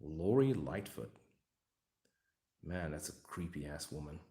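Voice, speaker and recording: Hungarian male streamer, very low voice, loose joking ramble, echoey room. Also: animated, measured pace, warm tone. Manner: rambling, joking, talking to chat. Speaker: livestream hobby host. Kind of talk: casual livestream chat while building a model.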